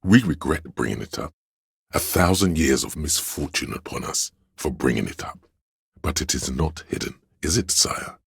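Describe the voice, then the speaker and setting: Game role: scheming courtly adviser, extremely deep husky bass, grandiloquent and obsequious, close dry sound. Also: male voice, expressive, normal speed, dry recording, full character voice. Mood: grandly deferential. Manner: theatrical, grandiloquent, addressing a liege. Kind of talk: scripted game voice lines, archaic courtly speech.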